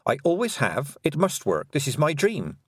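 Man doing a young girl witch, earnest, higher voice, lively, dry close storybook sound. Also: normal speed, husky, dry recording, exaggerated voice.

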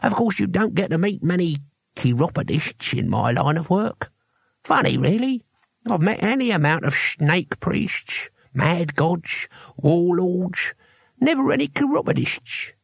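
A man voicing a gruff, wry old barbarian hero in a storybook, toothless and mumbling, with colloquial grumbling speech. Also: high voice, big pitch swings, measured pace, no room sound, pitched above the performer's own voice, full character voice. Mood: sheepish, wry. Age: older.

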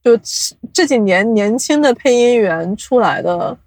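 Woman guest, somewhat high voice, close dry sound. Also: expressive, a little slow, darkish tone, dry recording. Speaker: guest, audio drama producer. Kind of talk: casual podcast interview talk.

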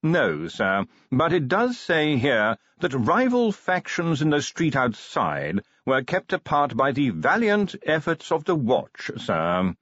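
A man voicing a tactful, unflappable butler in an audiobook, formal, measured and cautiously polite. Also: on the high side, wildly swooping pitch, a bit bright, dry recording, muffled recording, voice pushed up, exaggerated voice. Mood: deferential. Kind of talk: audiobook character voice.

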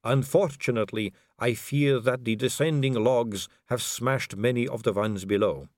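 A man voicing a suave, poised aristocratic female vampire, speaking slowly and silkily. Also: mid-range voice, dry recording, studio quality, natural voice. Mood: silky. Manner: slow, silky, languid delivery.

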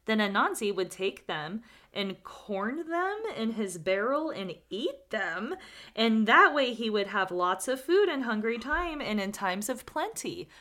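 A woman's voice: fairly high pitch, very animated, a little slow, pushed and bright. A woman co-hosting a podcast, telling stories casually with chatty asides. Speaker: podcast co-host.

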